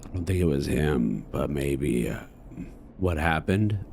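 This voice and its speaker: A man's voice: a bass voice, lively intonation, unhurried, gravelly, darkish tone, a little hiss or hum. A man giving casual gameplay commentary, slangy and musing to himself as he reacts. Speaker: game streamer.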